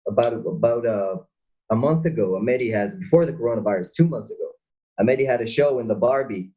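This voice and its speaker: A male actor guest speaking casually in a halting way, searching for his words over a video call. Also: mid-range voice, animated, breathy edge, highs cut off. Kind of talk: casual conversation.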